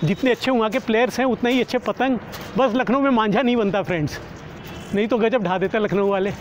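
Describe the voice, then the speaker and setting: A middle-aged man speaks in a notably high voice, explaining things casually as a vlogger would. He addresses his viewers as friends. He is recorded outdoors on a lapel mic, with faint urban and traffic noise behind him. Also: quick pace. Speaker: kite flyer presenting his vlog. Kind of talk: casual vlog commentary. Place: outdoors on an open rooftop. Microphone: clip-on lapel microphone. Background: faint outdoor city and vehicle noise.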